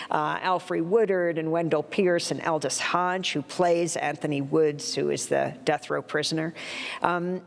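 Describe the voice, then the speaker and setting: A woman's voice: low voice, gravelly, in a large hall. A woman hosting a news show, asking direct, probing interview questions.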